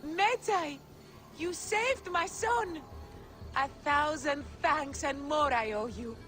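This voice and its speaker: A woman's voice: sits high, slow, sharp tone, full character voice. A woman playing an emotional, devout mother in a game cutscene, effusive, with music behind. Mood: grateful. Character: emotional, devout, grateful mother of a grown son. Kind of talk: video game cutscene acting.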